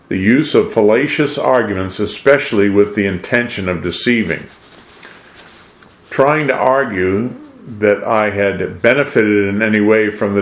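An older American man from New England delivers a preachy monologue in a deliberate, emphatic way, posing rhetorical questions. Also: lowish voice. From New England, USA. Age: 60s or older. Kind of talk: preachy monologue with read-out quotations. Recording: roomy, echoing voice.

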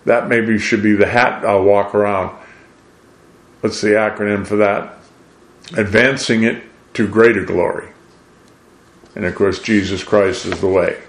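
An older American man from New England delivers a preachy monologue in a deliberate, emphatic way, posing rhetorical questions. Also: on the low side, fairly even intonation. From New England, USA. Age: 60s or older. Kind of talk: preachy monologue with read-out quotations. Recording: roomy, echoing voice.

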